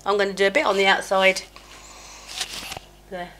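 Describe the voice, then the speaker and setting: A woman's voice: mid-range voice, little pitch movement, moderate pace, pushed and bright, a little hiss or hum. A middle-aged woman doing her makeup at home while chatting in a rambling, self-mocking way, slightly chesty with a cold. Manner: chatty, scattered, self-mocking, many fillers. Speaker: beauty vlogger. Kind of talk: rambling get-ready-with-me chat. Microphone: small mic clipped near her, sometimes covered.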